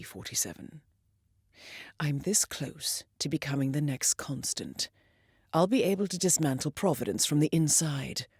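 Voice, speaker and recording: Female mission handler for a spy game: lowish voice, lively intonation, measured, precise, coolly understated. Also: no room sound, clean studio sound. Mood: composed, businesslike, then quiet, intimate. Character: composed, articulate female intelligence handler guiding an assassin. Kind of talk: scripted video game mission briefing.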